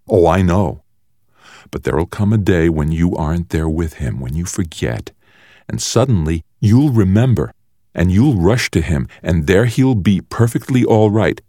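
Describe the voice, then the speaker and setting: A man's voice: low-pitched, animated, measured pace, warm tone, no room sound, studio quality, full character voice. A man voicing a cruel, mocking older brother, a menacing and theatrical boy bully in an audiobook. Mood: menacing, taunting. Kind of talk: audiobook character voice.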